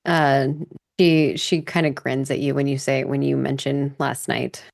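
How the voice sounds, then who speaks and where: A woman's voice: rumbling low, level tone, unhurried, vocal fry, no echo, studio quality. A woman playing a tabletop game, casually describing her character to the group.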